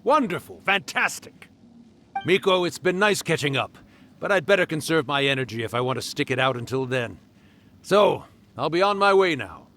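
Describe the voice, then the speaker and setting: A man's voice: fairly high pitch, lively intonation, moderate pace, a bit bright. An actor playing a genial, centuries-old male spirit in a video game, wry, affectionate and theatrical like an old uncle. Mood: pleased. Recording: clearly audible background noise.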